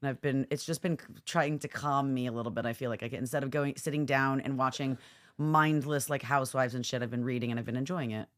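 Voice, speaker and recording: A woman co-hosting a podcast in casual banter, sardonic and animated, swearing casually. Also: very deep voice, quick pace. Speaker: podcast co-host.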